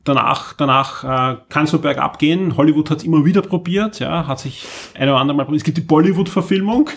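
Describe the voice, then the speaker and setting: A man's voice: fairly high pitch, fast talker, vocal fry. Man chatting on a podcast. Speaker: podcast host. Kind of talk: casual chat.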